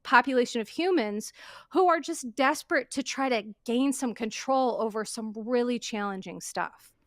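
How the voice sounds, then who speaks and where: A woman with a somewhat high voice talks earnestly in a casual podcast chat. She is emphatic and stacks repeated phrases to make her point. The sound is close and dry. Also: normal speed, no room sound. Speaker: podcast guest.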